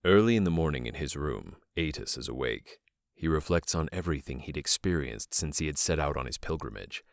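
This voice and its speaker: A man's voice: rumbling low, expressive, a little fast, vocal fry, no room sound, studio quality, full character voice. A man narrating a fantasy audio drama in a scripted storybook style. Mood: measured.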